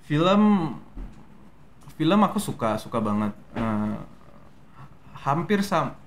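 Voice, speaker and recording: A young man from Medan with a softened Medan Indonesian accent, a guest actor telling his story in a relaxed, hesitant way with many fillers. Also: mid-range voice, takes it slowly, slight background noise. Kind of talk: casual interview talk.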